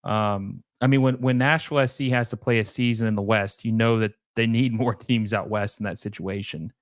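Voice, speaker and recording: A man's voice: on the low side, fairly even intonation, normal speed, no room sound, studio quality. A young man hosting a casual live stream, talking loosely with lots of fillers and restarts as he reads and answers viewer questions. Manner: rambling, many fillers and restarts. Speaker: livestream host.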